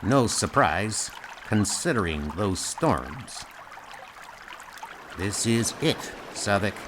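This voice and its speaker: A man's voice: on the low side, animated, takes it slowly, background noise. A man voicing a wary, resigned starship commander in a comic audio drama, blunt and sober.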